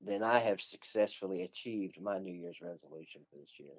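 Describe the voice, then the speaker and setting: Low, wry man, slightly muffled. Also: expressive, brisk, creaky. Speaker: co-host. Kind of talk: casual sports banter.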